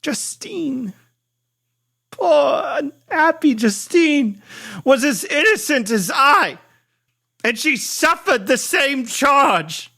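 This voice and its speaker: A narrator voicing an anguished, guilt-ridden gentleman scientist, declaiming theatrically with drawn-out, impassioned despair. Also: high voice, very animated, pitched above the performer's own voice, heavily acted. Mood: grief-stricken, tearful.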